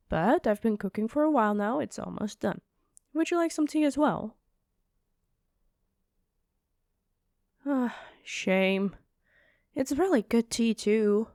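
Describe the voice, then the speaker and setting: Gentle giantess played by a woman, higher female voice, polite and earnest, hesitant phrasing, dry fantasy roleplay sound. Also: dry recording. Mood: casual, polite. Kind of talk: fantasy audio roleplay, ASMR.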